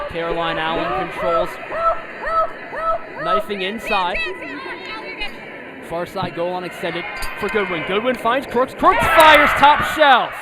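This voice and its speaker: A high-voiced American man calls a live lacrosse game. He speaks in clipped, present-tense bursts over a loud crowd and heavy outdoor stadium noise. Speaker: play-by-play announcer. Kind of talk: live lacrosse play-by-play commentary.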